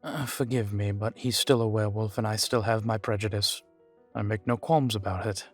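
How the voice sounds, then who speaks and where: A man playing a guarded, world-weary vampire informant in an audio roleplay, speaking in formal, measured phrases, proud yet fond. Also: fairly low pitch, fairly even intonation, close to an everyday voice. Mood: disdainful, proud. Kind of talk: scripted audio roleplay to the listener. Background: background music.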